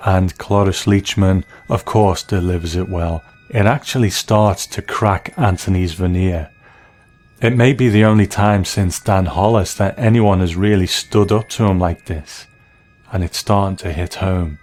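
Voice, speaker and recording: Guy with a deep voice doing a tv episode review, scripted and reflective, bit of music behind. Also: steady tone, unhurried, darkish tone. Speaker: television review host.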